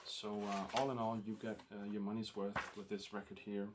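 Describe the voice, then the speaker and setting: A man's voice: lowish voice, flat delivery, brisk, background noise. A man with a Danish accent, a native Danish speaker, casually showing off records in a rambling vlog full of ums and uhs. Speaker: record collector vlogger.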